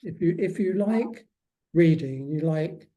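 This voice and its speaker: A man's voice: fairly high pitch, lively intonation, measured pace, mellow. A man speaking as a guest author in a casual interview, often hesitating and restarting his phrases.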